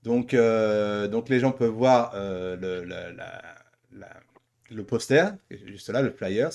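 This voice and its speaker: A man's voice: fairly low pitch, slow, vocal fry, darkish tone. Hesitant, informal male interviewer. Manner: informal, hesitant, stumbling over words and correcting himself. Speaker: interviewer and host. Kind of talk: casual podcast-style interview.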